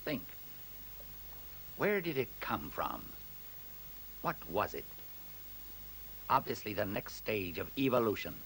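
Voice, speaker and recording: An actor playing a resolute, intellectual male scientist in a 1970s radio play, deliberate and authoritative. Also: on the low side, sing-song, fairly bright tone, exaggerated voice, poor audio. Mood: grave, reflective. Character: grave, resolute, intellectual scientist taking charge. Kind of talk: 1970s radio drama acting. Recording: hissy 1970s radio recording.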